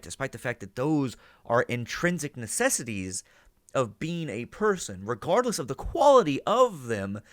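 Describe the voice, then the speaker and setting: A man's voice: mid-range voice, a bit bright, no room sound, clean studio sound. A man giving casual analytical commentary as a reaction host, thinking aloud and often checking with "right?". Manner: musing, thinking aloud, seeking agreement with "right?".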